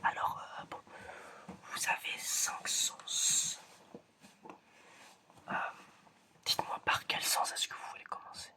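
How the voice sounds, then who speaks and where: A person whispering in a breathy, almost voiceless way in an ASMR roleplay as an examiner, halting and repeating short phrases. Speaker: ASMR creator playing an examiner giving a five senses test.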